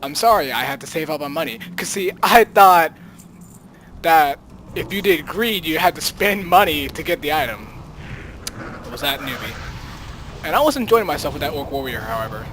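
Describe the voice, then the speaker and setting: Higher-voiced man telling gaming stories, noisy recording. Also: expressive, normal speed, pauses often, fairly bright tone. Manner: dramatic self-quoting, repeats phrases for emphasis. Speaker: host of a gaming storytime series. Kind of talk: casual rambling storytelling over gameplay.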